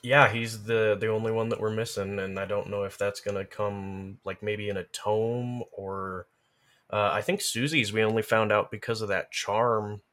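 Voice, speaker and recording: A man with a fairly low voice talks casually in a podcast conversation. He thinks aloud, often halting and rephrasing as he goes. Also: steady tone, fairly bright tone. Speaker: podcast speaker. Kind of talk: casual conversation about game lore.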